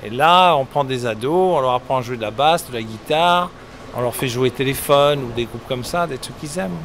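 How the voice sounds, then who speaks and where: A man's voice: mid-range voice, normal speed. Middle-aged man chatting over street noise. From England. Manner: relaxed, rambling, many hesitations and restarts. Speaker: musician guest. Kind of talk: casual interview answers. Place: outdoors at a summer concert event. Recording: outdoor street noise behind. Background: traffic and street ambience.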